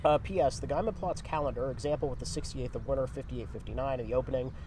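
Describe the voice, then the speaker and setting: A man's voice: medium pitch, fast, warm tone. A man co-hosting a podcast outdoors, chatting casually and reading aloud. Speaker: podcast co-host. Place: outdoors on location in a town. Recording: clear outdoor street and vehicle noise.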